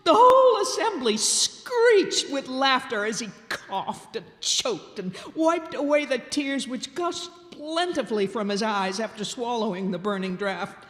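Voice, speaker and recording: An older woman tells a classic tale on stage with theatrical expression. Her voice sits a little high. It carries through a large hall with long reverb. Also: animated, a little slow, few pauses, a bit bright. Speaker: storyteller. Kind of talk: dramatic live reading of a classic tale.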